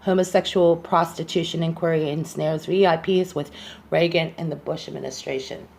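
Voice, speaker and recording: A woman hosting a talk show, speaking in a halting, fragmented way as she reads out facts and comments on them. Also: low-pitched, fairly even intonation, creaky, a little mellow. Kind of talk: monologue on social issues, reading out statistics.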